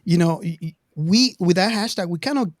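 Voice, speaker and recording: Halting woman, dry close sound. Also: lowish voice, lively intonation, no echo. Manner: halting, stammers over word starts. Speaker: podcast panelist. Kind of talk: casual panel chat.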